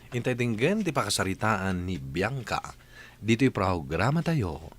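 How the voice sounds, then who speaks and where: A man with a Filipino accent hosting and narrating a radio drama, reading a letter in a measured, theatrical way with drawn-out phrases. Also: fairly low pitch, a bit bright, slight background noise.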